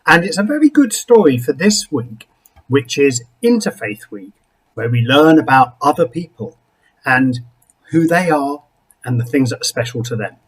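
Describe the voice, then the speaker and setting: A man, a rabbi, reading a picture book aloud to young children expressively, with dramatic pauses and small asides. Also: medium pitch, big pitch swings, breathy edge, rough recording.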